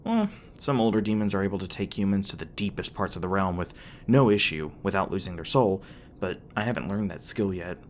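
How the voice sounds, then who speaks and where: A man's voice: low-pitched, a little fast, slight background noise, natural voice. A man playing a young demon boyfriend in an ASMR roleplay, speaking casually with teasing jokes, sweetness and hesitant asides. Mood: earnest, explaining.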